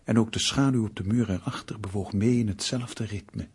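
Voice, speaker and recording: An actor playing a grave, ominous narrator of a suspense radio play, husky and deliberate, with dramatic pauses. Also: male voice, lowish voice, darkish tone, no room sound.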